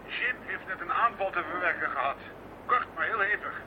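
A high-voiced, businesslike coordinator. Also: male voice, lively intonation, moderate pace. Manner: businesslike, giving orders. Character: brisk male police coordinator on the phone. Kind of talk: radio drama acting. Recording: dull radio recording with clear hiss.

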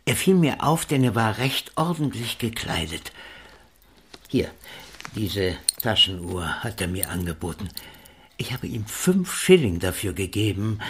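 An oily Victorian pawnbroker in a radio play. His voice is extremely hoarse-edged and fairly low. He talks with smarmy politeness and a callous, sly streak. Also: a bit bright, heavily acted. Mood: sly, haggling. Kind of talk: German radio drama acting.

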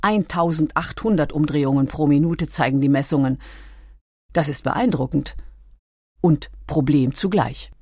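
A woman reading scripted documentary narration in a composed, clearly articulated manner. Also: low voice, moderate pace, warm tone, no room sound, studio quality. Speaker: audiobook narrator.

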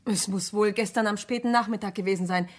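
An actress as a composed, precise woman secretary with a mid-range voice. She speaks formally and deliberately, choosing her words with care, in radio crime play style. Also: medium pitch, fairly even intonation, close to an everyday voice. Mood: explaining. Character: composed, precise female secretary answering police.

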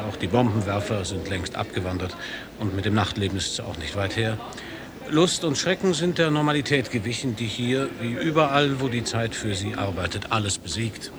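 A man's voice: mid-range voice, lightly acted. An actor playing an American male radio reporter in a radio play, reporting in a dry, ironic manner.

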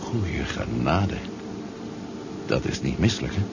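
An actor in a 1970s Dutch radio drama playing an impatient, bold adventurer on a submarine expedition. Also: male voice, extremely low pitch, big pitch swings, unhurried, full character voice. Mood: awed, observing. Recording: muffled, noisy 1970s radio recording.

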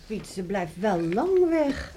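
A woman's voice: medium pitch, very animated, extremely slow, warm tone. An actress playing an anxious, caring middle-aged village mother in a Dutch radio drama, fretful and tender. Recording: noisy old radio play recording.

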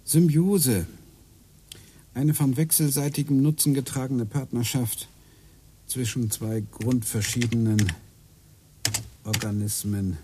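A man's voice: medium pitch, steady tone, takes it slowly, long pauses, mellow, slight background noise, close to an everyday voice. An actor playing a middle-aged husband in a radio play, earnest and eager as he lectures his wife about hidden connections. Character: obsessive husband hunting coincidences.

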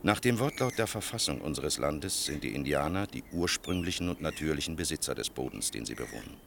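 A very deep, creaky older man's voice, playing a grave and weary official in a radio drama. He speaks in measured, sardonic phrases, over faint recording noise. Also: expressive, a bit bright, full character voice. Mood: grave explaining. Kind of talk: German radio play acting, 1980.

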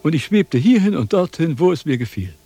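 An actor playing a self-important, wordy wandering philosopher in a declamatory, quibbling radio drama style. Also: male voice, fairly high pitch, sing-song, brisk, mellow, slightly rough, exaggerated voice. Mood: pompous reasoning. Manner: declamatory, pompous, sophistical. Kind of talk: theatrical German radio drama acting.

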